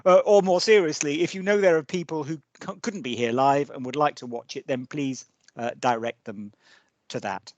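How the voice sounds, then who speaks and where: A middle-aged man hosts a webinar over a video call, genial and given to light jokes. His voice sits at an ordinary pitch. It sounds dry and close, with no room around it. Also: normal speed, no echo, lo-fi sound. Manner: genial, lightly joking, with frequent restarts. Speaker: webinar host, senior scholar. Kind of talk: hosting a live webinar.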